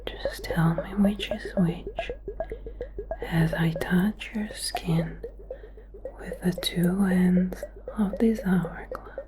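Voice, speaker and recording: A woman doing a whispered ASMR medical role play as a doctor, giving soothing, slow, repetitive instructions. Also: lowish voice, background noise. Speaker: ASMR performer playing a doctor.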